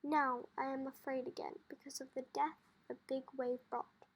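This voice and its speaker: A woman's voice: high voice, flat delivery, normal speed, slightly rough. A woman voicing an anxious, curious young farm boy in a storybook, speaking in an earnest, questioning way. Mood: afraid.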